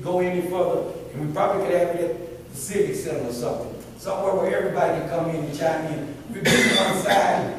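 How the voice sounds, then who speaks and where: A man with a big voice makes a rambling, heartfelt public comment at a town board meeting. His voice sits somewhat high, and he is heard from well away from the mic. A long, echoing hall reverb surrounds him, with faint room hiss. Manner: earnest, rambling, preacher-like appeals. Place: large echoey meeting hall. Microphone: room mic from a distance. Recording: echoey hall from far off.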